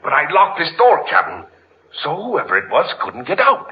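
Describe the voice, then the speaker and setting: Superstitious deckhand: very raspy, animated, humble but insistent, vintage radio horror drama. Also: male voice, fairly high pitch, moderate pace, heavily acted. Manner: humble, insistent, folksy nautical speech. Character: superstitious, deferential working-class male sailor, earnest and spooked. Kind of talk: old-time radio drama acting. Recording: muffled lo-fi vintage radio recording.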